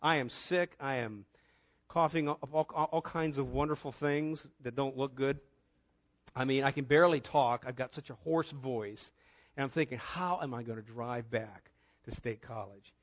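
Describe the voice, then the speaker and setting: A man's voice: mid-range voice, normal speed, muffled recording. A middle-aged man preaching a conversational sermon, telling a personal story in an earnest way and often repeating words as he starts a phrase.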